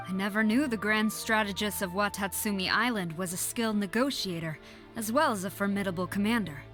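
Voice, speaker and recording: A woman's voice: mid-range voice, a little fast, a bit bright, soft background music, lightly acted. Woman voicing a stern, commanding general. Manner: formal, commanding, clipped. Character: stern military general, proud and disciplined. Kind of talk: game voice acting.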